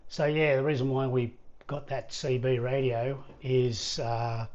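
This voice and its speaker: An Australian man hosts a casual vlog in a medium-pitched voice. His delivery is easygoing and rambling, as he talks directly to his viewers. The recording is a little dull and lo-fi. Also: level tone, unhurried, darkish tone. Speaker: vlog host. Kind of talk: casual chat to camera. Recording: slightly dull lo-fi audio.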